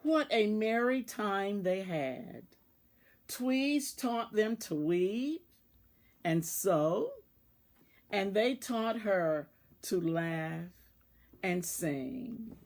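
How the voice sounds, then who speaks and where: A woman reading a children's storybook aloud, deliberately and in short phrases, as a volunteer reader. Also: mid-range voice, lively intonation, darkish tone. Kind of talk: read-aloud children's storybook narration with asides about the pictures.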